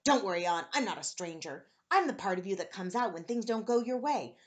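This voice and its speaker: A woman's voice: medium pitch, expressive, brisk, natural voice. A woman acts out a hairy little monster made of anger in a children's storybook. It is bold and mischievous, pressing its point with emphatic, coaxing insistence. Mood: boastful, coaxing. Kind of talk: children's storybook read-aloud.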